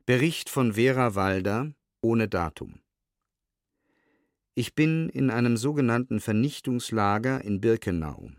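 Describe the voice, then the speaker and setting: A man narrates documentary material from a script. His voice is slightly low and his pace very slow and measured. The sound is close and dry, and the tone stays sober. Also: creaky, no echo. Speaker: narrator reading historical documents.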